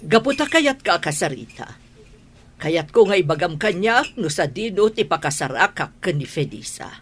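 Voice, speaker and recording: A woman playing a stern, stubborn older mother in a radio drama, with a husky voice. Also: on the low side, sing-song, normal speed. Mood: demanding.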